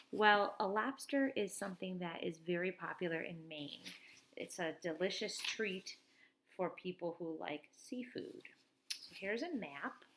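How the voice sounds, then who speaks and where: A woman in her thirties, a teacher reading a children's chapter book aloud from home into a webcam, with lively read-aloud delivery and quick asides. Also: fairly low pitch, vocal fry, a little hiss or hum. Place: small room at home. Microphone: webcam at desk.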